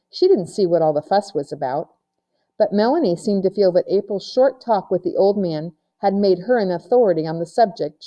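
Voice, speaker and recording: A woman's voice: mid-range voice, mellow, highs cut off. A woman narrating a children's novel aloud, like a teacher reading to pupils.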